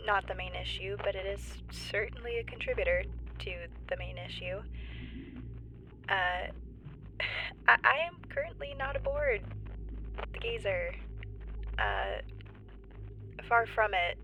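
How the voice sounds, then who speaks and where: A woman playing a nervous, wry stranded geologist in a sci-fi audio drama, halting and breathy, often near a whisper. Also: medium pitch, sing-song, noisy background. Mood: anxious, wry. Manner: halting, awkward, wryly understated. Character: stranded woman, nervous civilian geologist keeping an emergency log. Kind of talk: found-footage sci-fi audio drama monologue.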